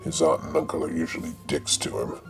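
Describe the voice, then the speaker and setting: Very deep, gravelly man narrating. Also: steady tone, brisk, music in the background. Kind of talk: scripted comic narration of an audio drama.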